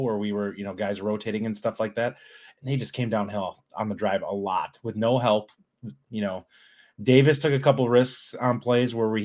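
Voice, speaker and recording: A man co-hosting a sports podcast, giving earnest game analysis in a casual, restarting way. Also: on the low side. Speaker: podcast co-host.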